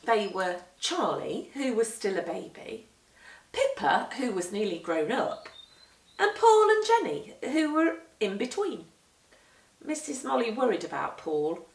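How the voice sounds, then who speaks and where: A woman reading a children's picture book aloud, with deliberate, animated and emphatic storytelling. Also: a little high. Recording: roomy echo, distant microphone.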